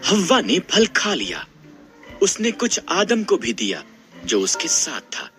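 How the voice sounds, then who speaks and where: A man's voice: fairly high pitch, huge pitch swings, a little slow, bright, forceful tone, soft background music. A man narrating a scripted Bible story in a dramatic storytelling style.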